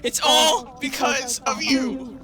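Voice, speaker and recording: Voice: sits high, steady tone, takes it slowly. A performer in an audio drama playing a bitter, vengeful young human who accuses with cold intensity. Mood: enraged. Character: Frisk, furious vengeful young human protagonist turning cold.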